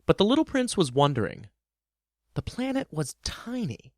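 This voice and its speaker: Very animated male storybook narrator. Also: sits high, normal speed, dry recording, studio quality. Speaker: podcast host and storyteller. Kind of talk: expressive scripted storybook narration.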